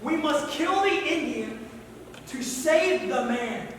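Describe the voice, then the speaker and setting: A woman's voice: sits high, animated, takes it slowly. A woman acting a stern, rigid mission school official in a stage play, with severe, formal delivery. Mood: stern, unyielding. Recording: distant echoey hall, faint noise.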